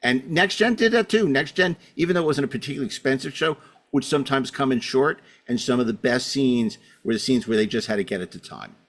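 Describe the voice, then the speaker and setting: A man's voice: medium pitch, lively intonation, fast talker. A man hosting a podcast in a casual, wry and opinionated conversational style. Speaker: podcast host. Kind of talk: casual podcast interviewing and chat.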